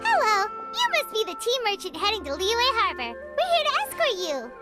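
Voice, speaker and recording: A woman's voice: extremely high pitch, wildly swooping pitch, normal speed, over loud music, exaggerated voice. A woman voicing a small, cheerful, talkative fairy companion in a video game, bubbly and eager in manner. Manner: refers to self in third person, bubbly, eager.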